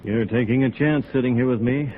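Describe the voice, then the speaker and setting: A man's voice: mid-range voice, fairly quick, a little hiss or hum, natural voice. An American man playing a bitter, beaten-down builder in a 1950s radio drama, wry and resigned. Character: bitter, beaten-down male builder turned outcast. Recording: thin, tinny old radio recording.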